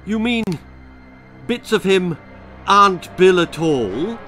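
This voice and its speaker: Man acting out a shrill distraught mother. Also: lively intonation, slow, bright, forceful tone, soft background music, exaggerated voice. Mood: exasperated, shocked. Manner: wailing, exclaiming. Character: distraught, scolding mother of a young boy.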